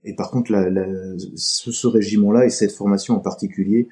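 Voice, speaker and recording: A middle-aged man from France's Atlantic coast, a podcast guest talking in a halting, reflective way that often pauses mid-sentence. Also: fairly low pitch, steady tone, dark tone. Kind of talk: casual interview talk.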